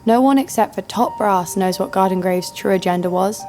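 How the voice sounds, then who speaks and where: An actress plays a tough female bounty hunter telling her tale in a scripted audio drama. She is sardonic and bent on revenge, at a middle pitch, with faint music underneath. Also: normal speed, warm tone, close to an everyday voice. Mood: grim. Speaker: lead actor and narrator.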